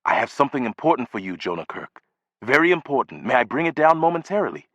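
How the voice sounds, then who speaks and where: A man voicing a courteous, stiffly formal older man who speaks carefully and gravely. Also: mid-range voice, very animated, fast, fairly bright tone, no room sound, lo-fi sound.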